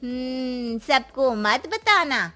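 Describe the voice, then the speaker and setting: A woman's voice: high voice, animated, drawn-out words, pushed and bright, faint music behind, exaggerated voice. A woman voicing a knowing, cautioning neighbourhood auntie in cartoon story dialogue, drawing out her words with emphasis. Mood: ominous warning.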